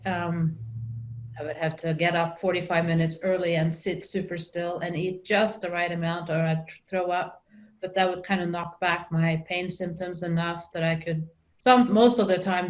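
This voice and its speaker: A middle-aged woman with a Norwegian accent tells her story in a conversational interview. She talks haltingly, with many ums and restarts. Her voice sits slightly low. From Norway. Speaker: guest.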